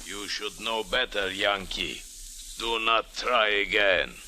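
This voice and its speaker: A man's voice: fairly low pitch, slow, loud music behind the voice. An actor playing a menacing henchman in an old radio detective drama. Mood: quietly threatening. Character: slow, menacing male henchman. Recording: very noisy, crackly old radio recording.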